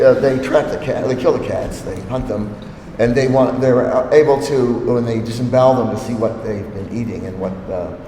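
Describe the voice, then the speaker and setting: A man's voice: on the low side, warm tone. Hesitant older man explaining in an echoey hall. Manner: hesitant, many ums, thoughtful. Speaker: anthropologist moderating a gallery talk. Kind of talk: explanatory talk to an audience. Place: gallery hall. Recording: echoey gallery hall, faint noise.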